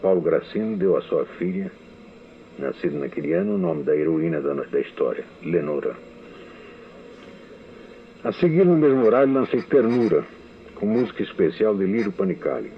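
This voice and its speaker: Low-voiced man recounting memories on old radio. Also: sing-song, normal speed, gravelly, long pauses. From Brazil. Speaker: radio novelist giving a testimony. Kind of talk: archival spoken memoir. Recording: tinny lo-fi archival radio recording.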